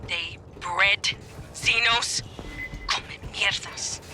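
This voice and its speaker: A woman playing a tough, foul-mouthed military dropship commander in a video game, brisk and clipped in her orders. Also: pushed and bright, faint music behind, heavily acted. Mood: appalled, angry. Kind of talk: video game voice acting, tactical radio commands. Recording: noisy game mix with machinery rumble.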